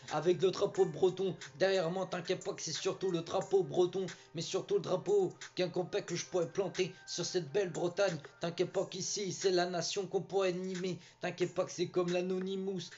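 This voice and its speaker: A man's voice: high voice, steady tone, moderate pace, few pauses, a little mellow, highs cut off, faint music behind. A man from Brittany rapping a rambling, repetitive, boastful freestyle over a beat. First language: French. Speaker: rapper. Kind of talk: freestyle rap.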